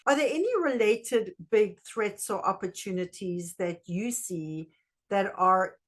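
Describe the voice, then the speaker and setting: A woman interviewer speaks at an average pitch for a female voice. Her questioning is measured and deliberate, built from short phrases. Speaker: interviewer, host.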